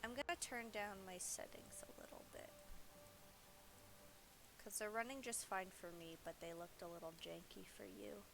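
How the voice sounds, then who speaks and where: A woman's voice: medium pitch, fairly even intonation, moderate pace, creaky, noisy background. A woman game streamer giving casual, rambling live commentary and explaining the story as she plays, often breaking off to restart her thought. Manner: informal, rambling, self-interrupting.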